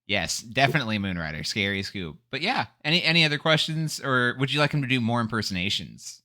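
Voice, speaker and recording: A man's voice: mid-range voice, big pitch swings, fast, pushed and bright, no room sound, clean studio sound. A man hosting an interview, upbeat and playful, laughing often as he moves the chat along. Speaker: host and interviewer. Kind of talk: casual chat.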